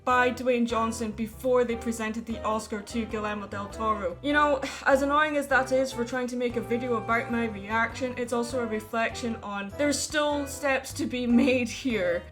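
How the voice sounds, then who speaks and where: A high-pitched woman delivering opinion commentary as a video host. She speaks in a measured, opinionated way, with music playing clearly behind her. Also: fairly even intonation. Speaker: YouTube host giving commentary. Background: background music.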